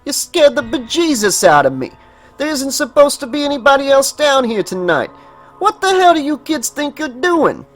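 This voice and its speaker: A man's voice: very animated, moderate pace, faint music behind. A man doing a panicky Bronx sewer worker. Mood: startled. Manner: panicky, urgent, high-strung. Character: frightened middle-aged male sewer worker.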